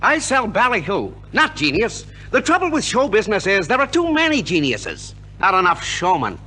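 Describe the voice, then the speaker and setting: High, animated, brash young showman. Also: normal speed, exaggerated voice. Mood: cocky. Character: brash, cheeky young American showman, small and cocky. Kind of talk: 1950s radio drama acting. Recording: noisy early 1950s radio recording.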